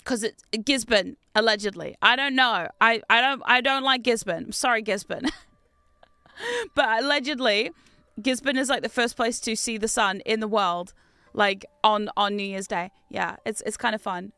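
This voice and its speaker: Young Kiwi-British woman streamer, bright higher voice, dry close sound, chatty and animated. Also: normal speed, clear, resonant tone, dry recording. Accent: mix of New Zealand and British. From New Zealand. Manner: chatty, animated, reads chat aloud, laughs often. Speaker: game streamer. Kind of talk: casual gaming livestream chat.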